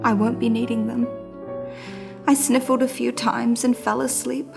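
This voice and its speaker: Casting: a woman playing an anxious little male hamster, storybook narrator, melodramatic, some gravel in the voice, music underneath. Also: on the high side, level tone, normal speed, pitched above the performer's own voice. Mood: doomed, weary. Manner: melodramatic storytelling with hushed suspense and emphatic exclamations. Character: dramatic, anxious little male pet hamster telling his diary. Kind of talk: children's storybook reading.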